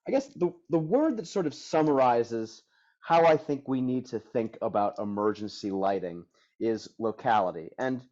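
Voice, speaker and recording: A hoarse, tired man walks an audience through a technical webinar with casual energy and plenty of fillers. His voice sits mellow and dark. The audio sounds muffled and dull. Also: medium pitch, animated, normal speed. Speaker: product development director presenting a webinar.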